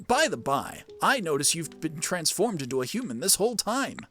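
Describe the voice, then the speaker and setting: An old professor creature from a video game, voiced by a streamer, wise and kindly. The speech is formal and measured, full of nostalgia, with an expressive, varied melody. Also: mid-range voice, soft background music. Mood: wise, solemn.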